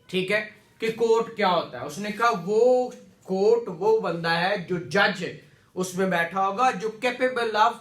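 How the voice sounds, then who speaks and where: Male teacher, Pakistani Punjabi accent, high voice, unhurried classroom lecture, repetitive explanations, echoey room. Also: sharp tone. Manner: explanatory, repetitive, with frequent check-ins. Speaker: law lecturer. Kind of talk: classroom lecture mixing in English legal terms.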